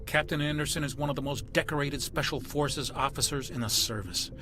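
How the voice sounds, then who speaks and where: A man's voice: on the high side, brisk, over music, lightly acted. An actor playing a wary, plain-spoken male military ship's officer in a video game, respectful but emphatic and suspicious.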